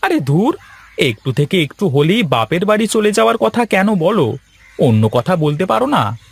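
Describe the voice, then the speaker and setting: A man's voice: sits high, big pitch swings, moderate pace, creaky, breathy edge, no echo, full character voice. A man playing a put-upon village fisherman in a cartoon story, folksy, theatrical and given to complaining. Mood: irritated, placating. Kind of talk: Bengali cartoon story acting.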